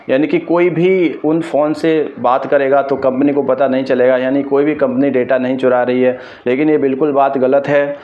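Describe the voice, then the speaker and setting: A man from India gives explanatory news commentary to viewers, speaking emphatically and opinionatedly and repeating his points. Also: medium pitch. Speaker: host of an explainer video channel. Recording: dull lo-fi microphone sound.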